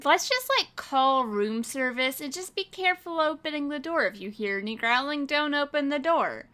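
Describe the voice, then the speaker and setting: A woman with a fairly high, bright and forceful voice. She improvises scenes as a player in a tabletop roleplaying game, talking casually. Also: animated, moderate pace.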